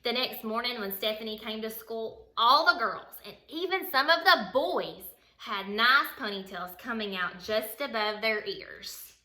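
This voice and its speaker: A woman reading a children's picture book aloud as a bedtime story, in an expressive, dramatic way. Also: fairly high pitch, a little slow, hardly any pauses. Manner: expressive, dramatic, acts out dialogue. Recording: roomy, echoey sound.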